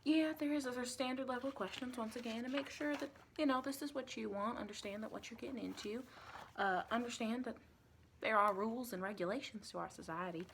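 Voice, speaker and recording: Woman playing a soft-spoken, folksy Southern vampire lady. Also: a little high, fairly quick, vocal fry, a little hiss or hum. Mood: chatty, reassuring. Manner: folksy, rambling, reassuring, doting. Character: sweet, chatty Southern vampire lady interviewing recruits. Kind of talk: ASMR roleplay, soft-spoken with whispering.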